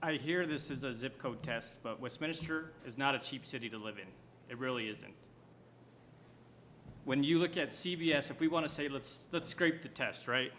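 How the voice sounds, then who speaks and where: A man's voice: medium pitch, moderate pace, fairly bright tone, a little hiss or hum. Argumentative man at a forum. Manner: pointed, rhetorical questions. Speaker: school board candidate.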